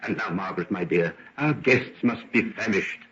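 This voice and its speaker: A man's voice: on the low side, normal speed, fairly bright tone, a little hiss or hum, natural voice. A man playing a courteous but firm middle-aged father in an old radio drama. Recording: dull vintage radio recording.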